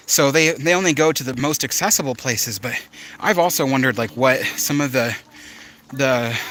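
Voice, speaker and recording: A man's voice: mid-range voice, bright, forceful tone. A man from Southern California with a Southern Californian accent hosts a casual live stream outdoors in a park. He reads viewer comments aloud and answers them in an easygoing, chatty way. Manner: easygoing, chatty, with frequent fillers and restarts.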